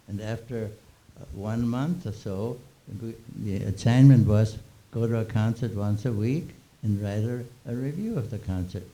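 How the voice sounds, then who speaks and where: A man in his nineties, born in Austria with German as his first language, telling old stories in a halting, chuckling way with many restarts. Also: fairly low pitch, mellow, a little hiss or hum, low-quality recording. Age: 90s. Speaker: interviewee. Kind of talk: reminiscing Q&A.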